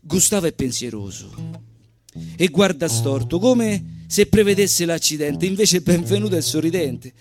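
A man with a Roman accent giving an expressive, theatrical live recital of comic verse in dialect. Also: a little high, normal speed. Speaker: stage performer. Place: live stage at a social centre event. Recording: live evening show recording with music.

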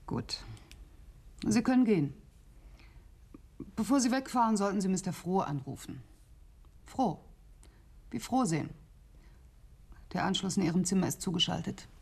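An actress playing a brisk female clinic doctor in a radio drama, speaking in a formal, clipped, bureaucratic way. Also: medium pitch, expressive, gravelly, darkish tone, slightly rough. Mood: cool, probing.

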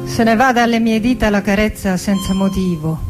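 A woman's voice: mid-range voice, moderate pace, lightly acted. An actress playing a proud, passionate, defiant woman poet from Argentina in a theatrical monologue, declaiming dramatically and lingering on her words. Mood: longing. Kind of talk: theatrical poetic monologue with music. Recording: voice over live musical accompaniment. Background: music with accordion and guitar.

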